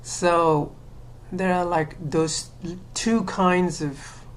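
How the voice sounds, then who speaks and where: A woman with a low, deep voice speaks to her camera in a loose, thinking-aloud monologue. She hedges and restarts often, leaning on "um" and "you know". A faint hiss sits under the home recording. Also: steady tone, extremely slow. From Sri Lanka. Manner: hesitant, many fillers and restarts, laughs self-consciously. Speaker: vlogger. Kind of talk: casual personal monologue.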